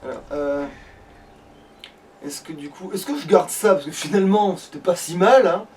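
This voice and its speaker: A man's voice: high voice, normal speed, a little mellow. A man talks casually through a game he is playing. He rambles, breaks off and swears freely. The mic sounds distant, with faint noise behind. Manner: crude, swears freely, rambling and self-interrupting. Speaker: let's play gamer at the controls. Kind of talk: casual gaming commentary.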